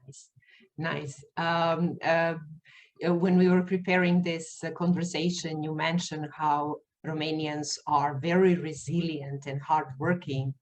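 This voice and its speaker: A woman hosting an interview podcast over a video call, talking haltingly with many fillers and restarts, English not her first language. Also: deep voice, level tone, poor audio. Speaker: podcast host.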